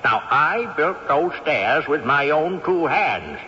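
A man's voice: on the high side, very animated, slow pace, sharp tone, heavily acted. An actor playing a cantankerous, possessive old male ghost who talks in a haughty, scolding way with sly chuckles, in a 1970s radio drama. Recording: dull old radio broadcast with reverb.

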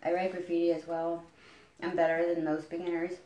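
Woman, low voice, podcast co-host, casual, playful, rambling, echoey room. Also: little pitch movement, a little fast, mellow. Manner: playful, rambling, jumps between tangents.

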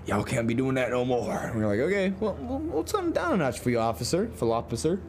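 A young man co-hosting a podcast in casual chat, animated and swearing freely. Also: mid-range voice, fast, slight background noise. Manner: animated, swearing, laughing often. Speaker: podcast co-host.

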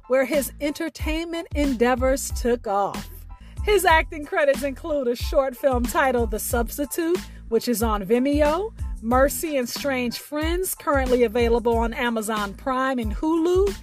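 A woman hosting a storytelling show, reading a scripted biographical introduction of a featured guest. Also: high-pitched. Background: music.

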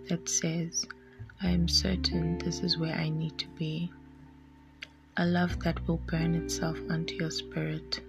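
Slow woman poet over guitar. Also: low voice, creaky, highs cut off. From South Africa. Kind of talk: poetry recital. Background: plucked guitar music.

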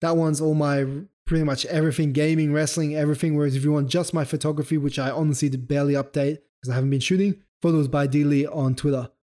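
A man's voice: on the high side, fairly even intonation, fast, few pauses, warm tone. A young man hosting a solo photography podcast, explaining loosely with fillers and self-corrections, his throat sore and his voice starting to go. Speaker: podcast host.

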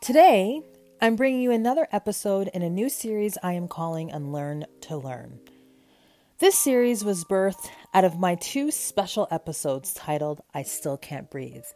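Bubbly woman podcast host, lower voice. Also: lively intonation, normal speed, soft background music. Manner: bubbly, frequent laughter, warm affectionate address. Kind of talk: casual podcast interview.

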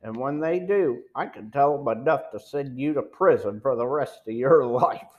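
A man voicing a weak but defiant captive detective in an audiobook, faint yet clear. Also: mid-range voice, moderate pace, dull audio, natural voice. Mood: faint, resolute. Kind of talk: audiobook dialogue.

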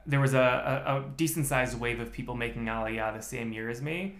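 A man's voice: medium pitch, fairly quick, fairly bright tone. A man, a podcast guest telling his story in a methodical way with many restarts. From United States. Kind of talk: casual interview chat. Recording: echoey room.

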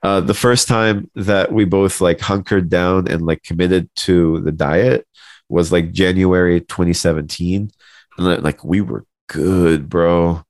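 A man with a very low voice talks casually as a podcast co-host. His delivery is informal and chummy, like speaking to old friends. The sound is dry and free of room echo. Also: flat delivery, measured pace. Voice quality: deep voice. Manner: informal, uses "bro" and "man". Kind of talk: casual chat.

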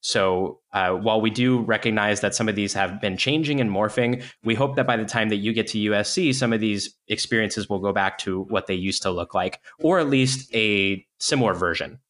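Male podcast host, low voice, steady and explanatory. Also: normal speed, few pauses. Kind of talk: hosted university podcast talk.

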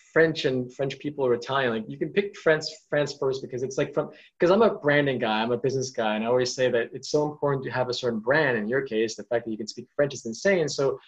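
Man, podcast host, enthusiastic rambling questions, mid-pitched voice, dull lo-fi audio. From New York. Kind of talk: casual podcast interview. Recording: dull lo-fi sound.

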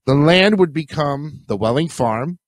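A man's voice: fairly high pitch, expressive, a little slow, no room sound. Nasal New man with a cold. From Queens, New York. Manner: rambling, chatty, self-correcting. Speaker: podcast host. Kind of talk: casual solo podcast talk.

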